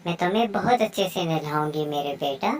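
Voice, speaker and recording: Lady doing a cartoon mama bird, caring but strict, kinda low voice. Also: lively intonation, fairly quick, distant mic, slight background noise. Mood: soothing. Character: caring, strict mother bird scolding her child. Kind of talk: children's moral story cartoon dubbing.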